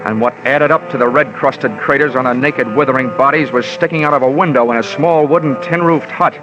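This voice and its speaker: A man's voice: medium pitch, fairly quick, over music, lightly acted. An actor playing a wisecracking insurance investigator, narrating his case in clipped, slangy 1940s hard-boiled radio style. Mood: tense, wry. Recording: muffled vintage radio recording.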